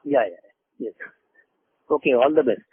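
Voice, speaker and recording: A man with an Indian accent and a husky voice, asking investor questions as a caller on an earnings call. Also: mid-range voice, lively intonation, measured pace. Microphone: telephone. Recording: tinny phone line.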